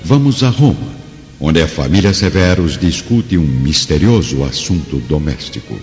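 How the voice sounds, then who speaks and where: A man's voice: very deep voice, sing-song, moderate pace. A man narrating a radio drama as a grave storyteller, in a scripted, dramatic style. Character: grave omniscient storyteller of a Roman-era saga. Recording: long reverb, dull track, music bed.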